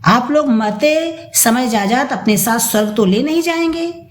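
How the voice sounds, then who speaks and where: A woman's voice: a little high, lively intonation, unhurried. A woman voicing a shameless, entitled grown son with a brazen, irritated manner in an audio story. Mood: indignant, shameless. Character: shameless, entitled adult son demanding his inheritance. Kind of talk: dramatised audio story reading. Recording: roomy echo.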